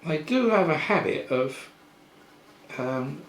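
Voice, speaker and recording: An older man giving a casual hands-on repair tutorial, thinking aloud in an unhurried way with pauses. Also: fairly high pitch, expressive. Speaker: electronics repair presenter. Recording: roomy echo with faint background noise.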